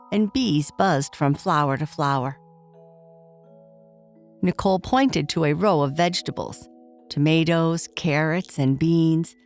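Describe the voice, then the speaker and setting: A woman's voice: deep voice, darkish tone. A woman storyteller narrating a scripted bedtime story for children.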